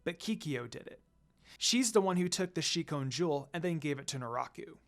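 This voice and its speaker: A storyteller voicing a gentle, earnest teenage girl in a fan fiction reading, soft but determined. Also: mid-range voice, animated, brisk, creaky.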